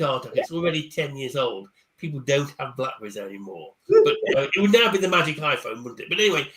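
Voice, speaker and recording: A man speaking over a video call, his voice a little high and very bright. He talks casually about books, stumbling and repeating words, then pushes ahead quickly. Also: rough recording. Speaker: career coach guest speaker. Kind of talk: casual chat on a live online panel.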